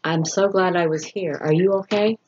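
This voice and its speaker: A woman's voice: fairly low pitch, steady tone, normal speed, lightly acted. A woman reading the part of a caring, protective man in a story, reassuring and firm, on a muffled recording. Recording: dull lo-fi sound with faint noise.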